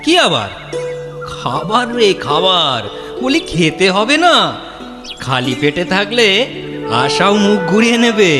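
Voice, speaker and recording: A man voicing Naresh, a dreamy, poetic young man who loves travel and gently teases his friends with practical sense. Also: high-pitched, sing-song, slow, long pauses, full character voice. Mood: wry. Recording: long reverb under music.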